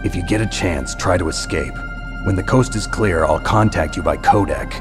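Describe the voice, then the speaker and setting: An actor playing a gruff, curt, no-nonsense soldier in a video game, speaking in terse, dry, commanding phrases with a gravelly growl. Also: male voice, sits low, moderate pace, over music, lightly acted. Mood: terse, businesslike. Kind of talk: video game cutscene acting.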